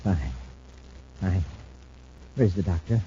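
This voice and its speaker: An actor playing a sly space castaway feigning weakness, speaking haltingly in an old-time radio serial. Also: male voice, low voice, expressive, mellow. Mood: weak, groggy. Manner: halting, feeble, hesitant. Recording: muffled old radio recording, faint hiss.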